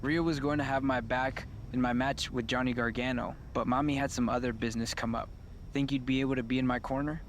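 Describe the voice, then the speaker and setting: A young male wrestler in a video game, played by a man, sounding sheepish and deferential. His voice is of medium pitch with a casual delivery. There is slight background noise. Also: fairly even intonation, fairly quick, close to an everyday voice. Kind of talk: video game cutscene acting.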